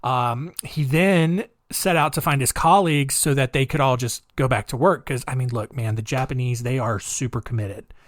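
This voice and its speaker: A man hosting a casual storytelling podcast, chatty and animated, talking straight to his listeners as guys with lots of asides, moving between loud emphasis and quiet remarks. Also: medium pitch, normal speed, hardly any pauses, no room sound. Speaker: podcast host.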